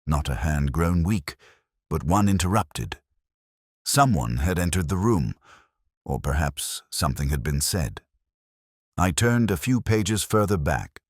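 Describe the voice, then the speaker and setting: A man playing a melancholy, sceptical English police inspector, narrating his case in a measured, literary first person for an audio drama. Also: rumbling low, very animated, breathy edge, dry recording, clean studio sound, full character voice. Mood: brooding, measured. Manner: measured, ruminative, literary turns of phrase.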